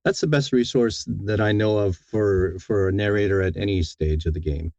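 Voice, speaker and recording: Man, very low pitch, dark relaxed tone, deliberate, halting, conversational interview answers. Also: no room sound, dull audio. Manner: halting, deliberate, repeats words as he thinks. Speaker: audiobook narrator, interview guest.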